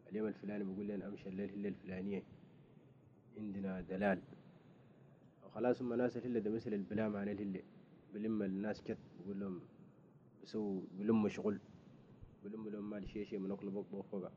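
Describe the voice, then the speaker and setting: A man interviewing in a casual conversation, talking quickly with frequent restarts. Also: lowish voice, little pitch movement, mellow. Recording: dull lo-fi recording with faint noise.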